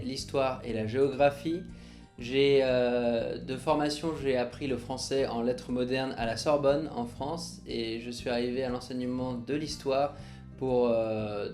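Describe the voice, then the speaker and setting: A male teacher giving a measured, explanatory self-introduction for a school video. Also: medium pitch, a little mellow. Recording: echoey room.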